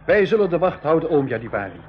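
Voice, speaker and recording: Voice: medium pitch, big pitch swings, quick pace, slight background noise, natural voice. A performer playing a plucky, earnest young boy in a 1980s school radio adventure. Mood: curious. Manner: exclamatory, earnest. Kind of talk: 1980s school radio drama acting in Dutch. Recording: slightly muffled 1980s radio recording.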